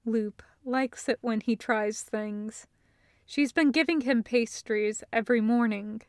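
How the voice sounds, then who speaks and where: Female audiobook narrator, higher-pitched, very slow measured read, understated delivery. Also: no echo, studio quality. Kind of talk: scripted fan fiction narration.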